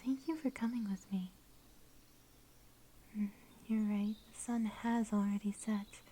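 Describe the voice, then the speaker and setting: A woman voicing a shy, sweet young werewolf girl in an ASMR roleplay, speaking in soft, breathy whispers with a gentle, tender manner. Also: medium pitch, steady tone, a little slow, a little hiss or hum, lightly acted. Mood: bashful, playful. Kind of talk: ASMR roleplay, intimate storytelling.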